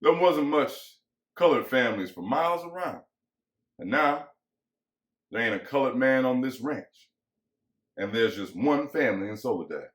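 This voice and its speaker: A man's voice: medium pitch, lively intonation, moderate pace, pauses often, fairly bright tone, lightly acted. A man acting as bitter, lonely American ranch hand, echoey. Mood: bitter, reflective. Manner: folksy rural vernacular, musing and pressing. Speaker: actor. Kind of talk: dramatic reading of a novel. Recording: echoey room.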